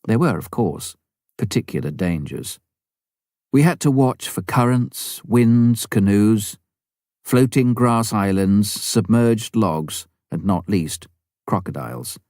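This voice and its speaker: A man with a very low voice reads a memoir as an audiobook narrator. His pitch moves in lively sweeps through the measured, deliberate phrases. The tone is mellow and warm, with the expressive shaping of a storyteller. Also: no echo, studio quality. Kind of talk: scripted narration of a missionary memoir.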